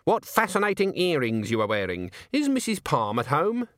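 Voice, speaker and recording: A man voicing a composed, imperious old woman witch, calm and self-assured, in a storybook. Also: a little high, normal speed, dry recording, clean studio sound, pitched above the performer's own voice.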